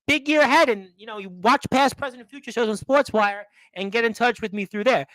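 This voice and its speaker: A man's voice: very high-pitched, a little fast, a bit bright, low-quality recording. A man hosting a wrestling talk show and interviewing a guest, rambling and effusive, often repeating himself. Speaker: radio show host.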